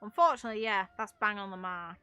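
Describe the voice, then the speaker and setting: A woman's voice: medium pitch, quick pace, sharp tone, smooth, faint music behind. A British woman chatting casually while streaming a game, with a blunt, opinionated manner and some mild swearing.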